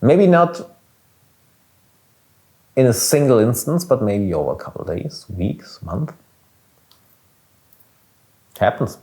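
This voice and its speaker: A man's voice: mid-range voice, very animated, takes it slowly, long pauses, warm tone. A young man with a German accent, hosting a casual motivational podcast in an earnest, emphatic way with some swearing. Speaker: podcast host and business coach.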